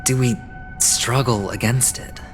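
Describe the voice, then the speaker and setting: A man voicing a suave, cunning young gambler in a game cutscene, speaking in a deliberate, theatrical, musing way with a wistful, fatalistic air. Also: mid-range voice, wildly swooping pitch, big-room sound, over music. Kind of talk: dramatic video game cutscene acting.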